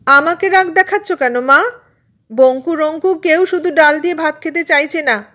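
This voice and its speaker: A woman voicing a bossy, sharp-tongued girl who scolds her younger brothers, mocking and indignant, in a storybook reading. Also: sits high, moderate pace, voice pushed up.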